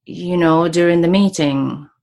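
A woman's voice: fairly low pitch, measured pace, vocal fry, darkish tone. A woman who speaks natively, co-hosting and interpreting, chatting in a friendly way with many short replies. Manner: friendly, backchannels a lot. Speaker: podcast co-host and interpreter. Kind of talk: casual chat.

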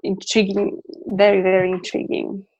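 A woman's voice: medium pitch, monotone, moderate pace, darkish tone, lo-fi sound. A woman from Italy who speaks Italian natively, chatting as a guest about how she learns English, with frequent fillers and restarts. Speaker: guest interpreter. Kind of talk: casual chat. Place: London.

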